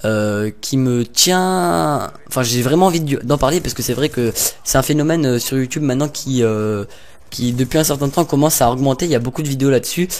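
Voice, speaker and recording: A man's voice: mid-range voice, fairly quick. A young man casually commenting over gameplay, rambling and hesitant with lots of fillers and little jokes. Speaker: gaming YouTuber.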